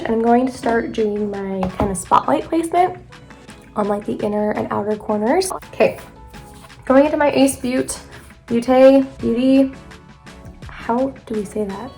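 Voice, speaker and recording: Young woman beauty vlogger, rambling and chatty, slightly stuffy nose, fry in a higher voice, soft music under. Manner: chatty, rambling, self-correcting. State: congested from allergies. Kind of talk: chatty makeup tutorial.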